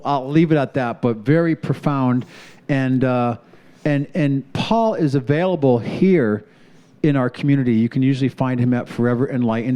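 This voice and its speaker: A man hosts a live community television show in front of a studio audience. His voice is smooth and resonant, with a relaxed, mellow tone. He sounds upbeat as he introduces segments. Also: medium pitch. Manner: upbeat, announces crew and thanks sponsors. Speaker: show host.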